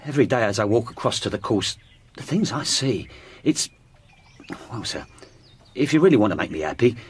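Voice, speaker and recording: An actor playing a humble, earnest jockey in a radio drama, deferential and hesitant. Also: male voice, mid-range voice, very animated, fairly quick, breathy edge. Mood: earnest pleading. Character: humble, earnest jockey seeking second chance.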